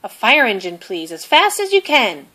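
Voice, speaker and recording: A woman's voice: fairly high pitch, sing-song, moderate pace, sharp tone, full character voice. Woman as Missus Boot, firm farmer mother. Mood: urgent, polite.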